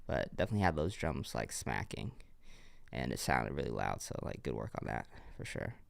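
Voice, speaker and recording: A man's voice: a bass voice, big pitch swings, fairly quick, creaky, no room sound. A young man with a congested voice, giving laid-back, encouraging feedback on music submissions during a livestream. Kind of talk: casual livestream commentary.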